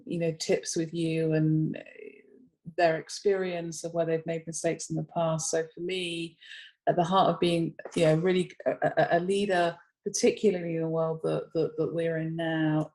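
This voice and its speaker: A woman's voice: deep voice, a little mellow. A woman giving considered advice as a panel guest, thoughtful and hesitant as she searches for her words.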